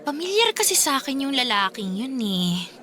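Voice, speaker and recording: Bright, high-pitched, brash young Filipina bandmate. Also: female voice, sing-song, normal speed, exaggerated voice. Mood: suspicious, teasing. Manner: teasing, blunt, exaggerating. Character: brash, suspicious young female bandmate and best friend. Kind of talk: Filipino radio drama acting.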